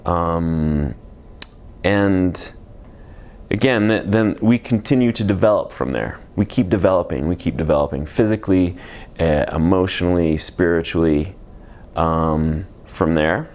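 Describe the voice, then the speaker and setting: A man in his forties with a very deep voice talks unscripted about spiritual growth. He meanders and restarts his thoughts, filling gaps with ums and "you know". He sounds like a healer explaining his work. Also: slow pace, clean tone. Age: 40s. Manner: reflective and meandering, with many fillers and restarts. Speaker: energy healer. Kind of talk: unscripted spiritual teaching monologue.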